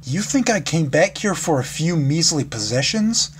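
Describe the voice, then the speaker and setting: A man's voice: a little high, animated, unhurried, a bit bright. A man voicing a hot-headed, heartbroken young female pegasus pony in a story, snapping and demanding with emphasis. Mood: angry, demanding. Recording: clearly noisy background.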